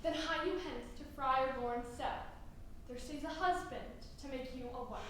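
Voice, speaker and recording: A woman's voice: high voice, moderate pace, a bit bright, slight background noise. A woman playing an old nurse who grumbles about her aches and teases, in a stage Shakespeare performance. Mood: scolding. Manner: complaining, dawdling, theatrical. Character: fussy old female nurse, achy and teasing. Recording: echoey hall from far off.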